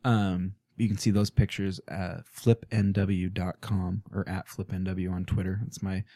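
A man's voice: deep voice, flat delivery, a little slow, dark tone, dry recording. A man speaking, a podcast guest in casual, crude, joking chat in a small studio.

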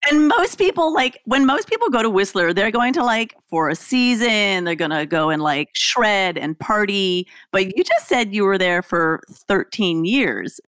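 A woman hosting an interview, asking relaxed questions with an enthusiastic, friendly manner. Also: mid-range voice, moderate pace, hardly any pauses, dry recording. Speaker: podcast host, interviewer. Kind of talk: casual interview chat.

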